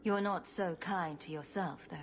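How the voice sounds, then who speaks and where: A woman's voice: fairly low pitch, moderate pace, a little hiss or hum, close to an everyday voice. A woman voicing a wry rogue adventurer in a video game, with a dry, teasing, sarcastic manner. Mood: curious, wry.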